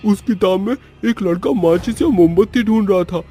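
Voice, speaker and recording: Man as a worried schoolboy, high and mellow. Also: normal speed, faint music behind, lightly acted. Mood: anxious reasoning. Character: worried schoolboy grimly warning his friend of doom. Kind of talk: animated horror story voice acting.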